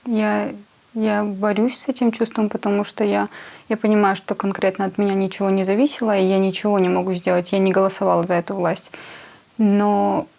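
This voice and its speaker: Woman, flat gravelly voice, dark tone, earnest, keeps restarting. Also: mid-range voice, brisk. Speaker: volunteer interviewee. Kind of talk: documentary interview.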